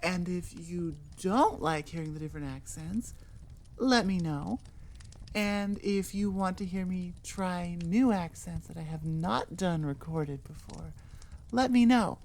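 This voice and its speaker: A woman's voice: lowish voice, a little slow, darkish tone. A woman who creates audio roleplays, chatting informally and musing aloud in a rambling, self-deprecating way. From born in England, moved to the US. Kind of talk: casual rambling outro chat.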